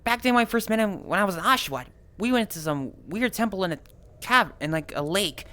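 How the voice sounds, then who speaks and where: A man voicing an eager young water creature companion, telling stories earnestly and haltingly in an improvised game roleplay. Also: sits high, lively intonation, bright, forceful tone. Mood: eager, proud. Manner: earnest, stumbling storytelling. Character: eager young male water Pokemon companion recounting adventures.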